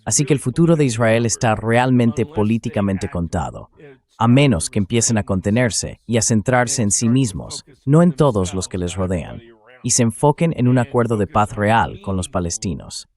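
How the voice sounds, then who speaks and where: Low-pitched synthetic man giving political commentary. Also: lively intonation, moderate pace, a little mellow. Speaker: interview guest analyst, dubbed translation. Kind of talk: read-out political commentary, translated voice-over.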